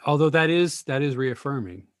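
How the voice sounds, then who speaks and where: A man of middle pitch moderates an online discussion and gives his own views as he goes. His questions are earnest and long-winded, and his voice sits dry and close. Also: animated, moderate pace, no room sound. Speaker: panel moderator. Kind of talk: panel discussion over video call.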